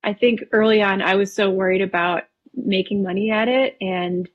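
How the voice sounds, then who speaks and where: Guest: thirties woman from Wisconsin, husky voice, relaxed and talkative, lots of filler ums. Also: medium pitch, normal speed, lo-fi sound. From Wisconsin, USA. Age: 30s. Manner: relaxed, chatty, laughs easily, frequent ums. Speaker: painter guest. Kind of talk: casual interview conversation.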